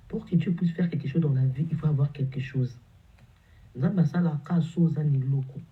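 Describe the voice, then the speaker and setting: A man with a head cold preaches in a fairly high voice, repeating phrases and tripping over his words for emphasis. The sound is a little dull and lo-fi. His voice is congested. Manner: repetitive, stumbling, emphatic preaching. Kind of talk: morning sermon exhortation.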